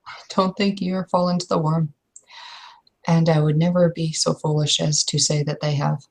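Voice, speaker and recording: A woman's voice: sits low, fairly even intonation, normal speed, darkish tone, low-quality recording. A woman playing a blunt, impatient werewolf in a tabletop roleplay, arguing and storytelling. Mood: firm. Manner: argumentative, storytelling, exasperated.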